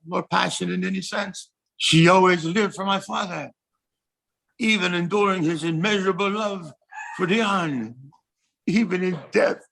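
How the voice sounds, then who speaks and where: A man's voice: sits high, vocal fry, fairly bright tone, slightly rough, heavily acted, low-quality recording. A man playing an ardent, tender young French king in a courtly, theatrical audio drama style. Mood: earnest.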